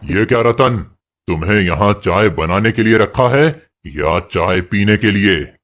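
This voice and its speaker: A man's voice: lowish voice, animated, unhurried, fairly bright tone. A man voicing a stingy, harsh tea-shop boss in a cartoon moral story, bossy and sarcastic. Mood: angry, sarcastic. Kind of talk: animated moral story acting.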